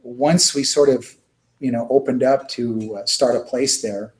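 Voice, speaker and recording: A man speaking as an interview guest, recounting experiences in an earnest, halting, informal conversational way. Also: mid-range voice, steady tone, low-quality recording. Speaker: interview guest, children's music charity worker.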